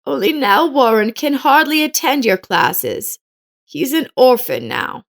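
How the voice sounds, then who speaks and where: A woman's voice: high-pitched, very animated, a little slow, voice pushed up, heavily acted. A woman voicing a kindly, sorrowful elderly neighbor with an earnest, emphatic manner in an audio story.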